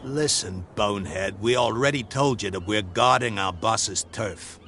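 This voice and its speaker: A man's voice: fairly low pitch, measured pace, sharp tone, slight background noise. An actor voicing a nervous but rude male bootlegger in a video game, blunt and jumpy. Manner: blunt and jittery.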